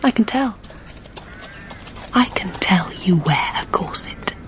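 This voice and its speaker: An actress playing a reserved young English governess, confiding her thoughts in breathy, near-whispered narration for a period audio drama. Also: medium pitch, animated, takes it slowly, fairly bright tone. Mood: wry disdain.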